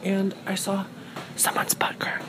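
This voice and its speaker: A woman's voice: mid-range voice, monotone, measured pace, whispered. A young woman chatting casually into a phone held at arm's length, bubbly and self-admiring, in a car and a thrift store. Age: young adult. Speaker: thrift vlogger. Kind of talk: casual vlog chat. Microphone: phone camera held at arm's length. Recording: noisy phone recording.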